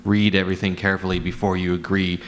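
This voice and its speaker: Very deep-voiced man giving panel advice. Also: level tone, fast talker, a little hiss or hum. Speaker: panelist and podcaster. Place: convention panel room.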